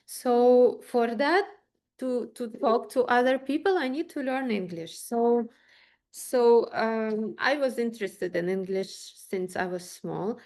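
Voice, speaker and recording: A woman with a Ukrainian accent answering interview questions casually, haltingly searching for words in English. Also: a little high, a little mellow. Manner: halting, searching for words, chuckles as she talks. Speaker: interview guest, musician and choir teacher.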